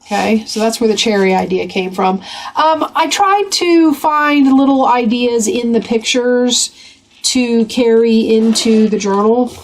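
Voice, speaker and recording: Woman with a rough, gravelly cold voice. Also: fairly high pitch, a little slow, rough recording. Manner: chatty, rambling, with many fillers. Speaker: craft channel host. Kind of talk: casual journal flip-through.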